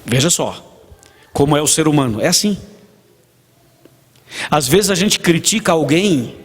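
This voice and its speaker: A man's voice: a little high, expressive, measured pace, husky. A man with a Brazilian Portuguese accent, a pastor preaching a sermon, telling stories, acting out dialogue and asking the congregation questions. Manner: storytelling, acts out dialogue, asks the congregation. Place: church hall. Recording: long church reverb.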